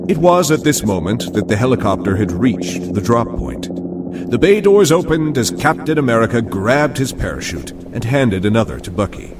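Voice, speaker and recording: Casting: male story narrator, expressive, slightly deep, set far back amid very loud background noise. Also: normal speed. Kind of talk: scripted audio drama narration.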